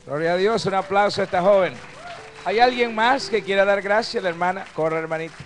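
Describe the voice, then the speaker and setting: Loud fervent church leader, man. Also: sits high, a little fast, soft background music. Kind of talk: calling out praise at a church service.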